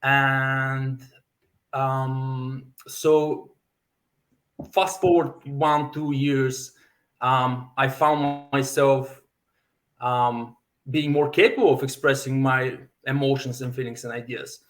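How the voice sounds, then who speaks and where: A man in his thirties from Bulgaria, a native Bulgarian speaker and fitness coach, telling his story as a podcast guest, deliberate with many fillers and self-repeats. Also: mid-range voice, fairly even intonation. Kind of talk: personal story in podcast interview.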